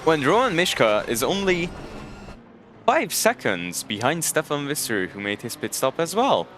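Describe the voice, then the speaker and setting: A man's voice: a little high, big pitch swings, unhurried, a bit bright, slight background noise. A man from Hungary with a Hungarian accent, casually commentating a sim racing stream and chatting with viewers. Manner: chatty, jokes and thanks chat viewers. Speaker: race commentator.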